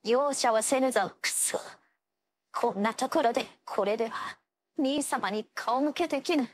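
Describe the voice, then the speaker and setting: A proud young ice-wielding swordswoman from an anime fighting game, acted by a woman. Her voice sits high for a female. She phrases everything in an old-fashioned, imperious way, stern and commanding. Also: unhurried, a little hiss or hum, natural voice. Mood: stern, resolute, then strained, determined. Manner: archaic, haughty, commanding phrasing.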